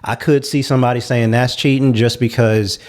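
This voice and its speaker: A man's voice: medium pitch, steady tone, a little fast. A man in a casual panel discussion, talking in an explanatory, reasoned manner.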